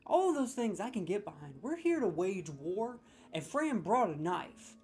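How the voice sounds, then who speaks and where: A man's voice: high voice, big pitch swings, normal speed, darkish tone. A man hosting a comedic video, ranting sarcastically with mock outrage as he scores game voice lines.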